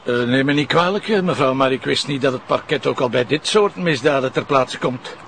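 An actor playing a blunt, sceptical police commissioner with a Flemish accent and a wry, challenging manner in an audio drama. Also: male voice, medium pitch, brisk, a bit bright. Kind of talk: naturalistic audio drama acting. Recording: clear street noise behind voice.

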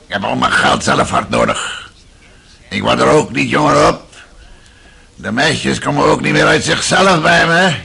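An actor playing an aging, miserly, lecherous Russian father in a radio drama, self-pitying and rambling, with a gravelly, husky voice. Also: mid-range voice, measured pace, sharp tone, full character voice. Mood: querulous, wheedling. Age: older. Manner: rambling, muttering, with sighs and grunts.

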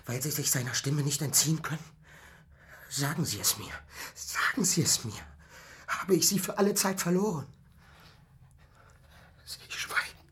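A man's voice: medium pitch, a bit bright, close to an everyday voice. An actor in a German radio play playing a passionate young man in love, intense, wounded and pleading. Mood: bitter, resigned. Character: passionate young male lover, desperate and wounded.

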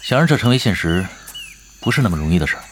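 A man's voice: low voice, sing-song, fast talker, a little hiss or hum. A man acting in an audio drama as a laid-back, shrewd veteran gamer and team leader, matter-of-fact and understated. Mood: low-key. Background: background music.

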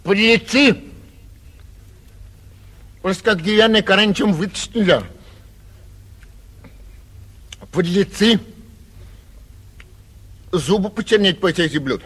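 A man playing a vain, petulant, cowardly young gentleman in a 1940s theatrical radio play, whining and indignant in a husky voice, with long reverb on the old recording. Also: sits high, measured pace, pauses often, heavily acted. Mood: disgusted, grumbling. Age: young adult.

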